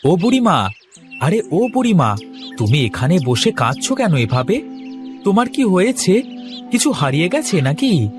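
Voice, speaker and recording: A man's voice: high voice, big pitch swings, unhurried, long pauses, soft background music. A man voicing an earnest, clever poor village farmer in a cartoon folk tale, with an exclamatory, pleading way of talking. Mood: puzzled concern.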